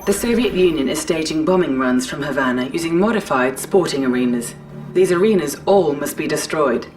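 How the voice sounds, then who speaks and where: A woman acting as a composed military mission announcer in a video game, formal, measured and impersonal. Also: sits low, lively intonation, recorded from a distance, music in the background. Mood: formal briefing. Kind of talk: scripted game mission briefing.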